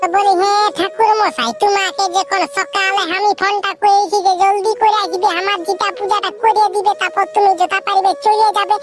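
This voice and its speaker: A sassy, thrifty village woman from a comic cartoon. The pitched-up voice is extremely high and sharp-tongued, nagging and bargaining in a Purulia Bengali accent with clear background music. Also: level tone, a little fast, few pauses.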